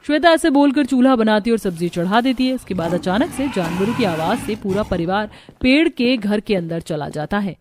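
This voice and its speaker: A woman tells a scripted cartoon moral story as its narrator. Her voice sits at an average female pitch, and she delivers the tale as a storyteller would. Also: lively intonation, a little fast, background noise.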